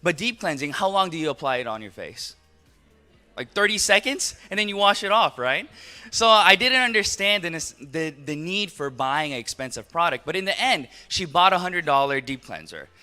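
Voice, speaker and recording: A man's voice: a little high, moderate pace, soft background music. A man in his thirties with an American accent giving a motivational business talk, telling stories and putting rhetorical questions to his audience. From Los Angeles, United States. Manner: animated storyteller, rhetorical questions to the audience, acts out dialogue. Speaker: business speaker, network marketing entrepreneur.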